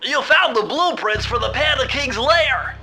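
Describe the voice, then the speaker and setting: A man's voice: extremely high pitch, lively intonation, unhurried, heavily acted, rough recording. An actor playing an eager, bookish male turtle genius who explains things precisely, over game music. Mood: explaining. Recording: game soundtrack music behind.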